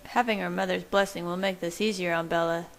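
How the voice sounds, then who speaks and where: Earnest, restrained young vampire man, read by a woman: measured, gentle, somewhat low for a female voice, audiobook dialogue. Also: steady tone, close to an everyday voice.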